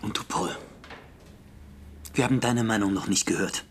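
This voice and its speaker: A man's voice: lowish voice, normal speed, slight background noise, lightly acted. An actor in a German radio drama playing a burdened middle-aged politician, an earnest, insistent and controlling father. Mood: resolute.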